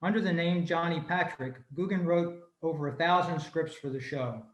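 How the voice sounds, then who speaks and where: Male club presenter, slightly high-pitched, reading prepared notes on a video call from an echoey room. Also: normal speed, dull audio. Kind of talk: scripted informational talk, read aloud. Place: room, remote video meeting.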